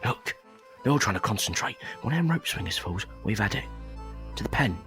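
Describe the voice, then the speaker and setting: A man playing a gruff, bossy, scolding middle-aged circus father in an audio drama. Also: lowish voice, sing-song, a little fast, fairly bright tone, faint music behind.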